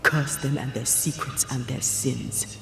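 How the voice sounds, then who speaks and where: A stern ghostly huntress in a dark fantasy game. She is resolute and commanding, speaking in clipped, terse phrases over prominent music. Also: rumbling low, fairly even intonation, a little slow, vocal fry, exaggerated voice. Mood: bitter, accusing. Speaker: game voice actor. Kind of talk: dramatic game dialogue.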